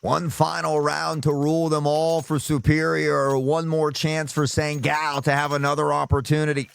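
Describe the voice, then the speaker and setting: A male esports caster with a slightly sore throat, calling play-by-play and erupting into loud shouts on big plays. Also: a little high, level tone, normal speed, creaky, smooth, no echo.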